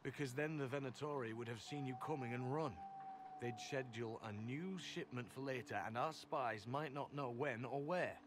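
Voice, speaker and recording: Man playing a composed, measured secret agent. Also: mid-range voice, natural voice. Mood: businesslike. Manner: measured, matter-of-fact briefing. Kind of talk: video game dialogue acting. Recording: clear background ambience noise.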